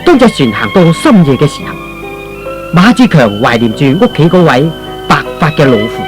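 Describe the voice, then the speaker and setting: A man tells a scripted story as a radio drama narrator. His delivery is theatrical and emphatic, with lively swings in pitch. Faint music sits behind him.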